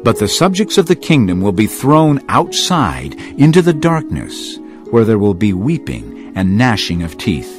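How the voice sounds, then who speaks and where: An actor playing a solemn, authoritative male teacher and healer in a dramatised audio Bible, speaking in a grave, measured way. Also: on the low side, huge pitch swings, over music. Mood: firm, grave.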